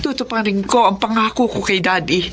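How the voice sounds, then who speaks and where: A woman's voice: mid-range voice, a little fast, fairly bright tone, a little hiss or hum, natural voice. A Filipino woman acting in a radio drama as a kind, emotional middle-aged mother, tender and dramatic. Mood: tender, resolved. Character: emotional kind mother reunited with long-lost brother.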